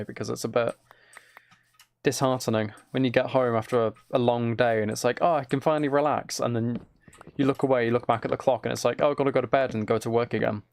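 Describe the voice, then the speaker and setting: A very tired man chatting casually and quietly on a game livestream, rambling and slightly mumbled in a soft ASMR manner. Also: mid-range voice, vocal fry. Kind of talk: casual gaming stream chat, ASMR.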